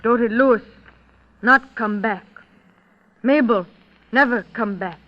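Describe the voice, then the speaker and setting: An actress playing a stubborn, solemn mother on the witness stand, speaking terse, halting broken English in an old radio drama. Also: a little high. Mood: stolid, halting. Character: stubborn, solemn Native woman, mother giving testimony. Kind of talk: 1930s radio drama acting. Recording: dull old radio recording.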